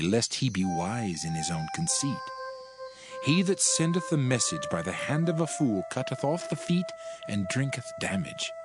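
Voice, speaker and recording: Low male voice, dramatized scripture reading, solemn, measured, widely varied intonation, music behind. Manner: solemn, declamatory, measured phrasing. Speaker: audio Bible narrator. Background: background music.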